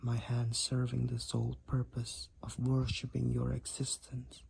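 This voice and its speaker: A man voicing a devoted, overprotective vampire boyfriend in an intimate audio roleplay, with courtly, archaic, tender phrasing and a breathy, husky voice. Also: mid-range voice, monotone, darkish tone, slight background noise. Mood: devoted, reverent. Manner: archaic, formal, tender, slow and deliberate wording.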